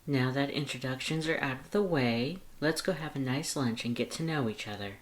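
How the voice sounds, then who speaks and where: A narrator voicing a small, affectionate, gently reassuring male skeleton in a fan fiction reading. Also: medium pitch, normal speed, lightly acted. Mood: cheerful.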